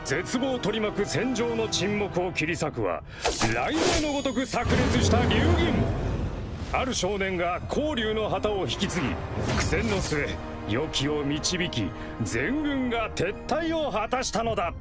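An actor playing a theatrical storyteller who recounts war legends in a grand, declamatory, old-fashioned manner for a game. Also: male voice, sits high, big pitch swings, measured pace. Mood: grave, dramatic. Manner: grandiose, declamatory, archaic wording. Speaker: storyteller narrator. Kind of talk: dramatic theatrical storytelling narration. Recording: loud music under the voice. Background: loud music.

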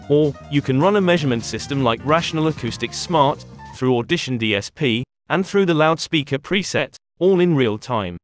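A man reading a scripted software tutorial in a measured, instructional way. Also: mid-range voice. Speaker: tutorial narrator.

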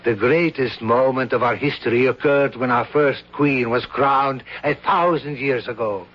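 An actor playing a formal, polished foreign grand duke in a 1970s radio drama, with ceremonious phrasing, on a dull vintage broadcast recording. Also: male voice, medium pitch, measured pace, lightly acted.

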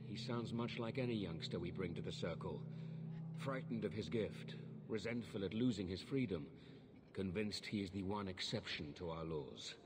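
An actor playing a measured, formal templar knight in dramatic game dialogue. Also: male voice, deep voice, in a large hall, noisy background. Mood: grave. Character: stern-minded male templar knight in armour, measured, formal.

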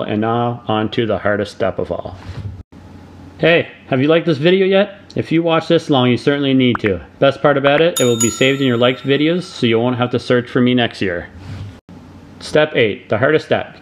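A middle-aged man narrates a do-it-yourself tutorial in a voice of ordinary middle pitch. He reads from a script, laying out each step in a steady, plain way. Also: normal speed, a little hiss or hum. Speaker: DIY channel host.

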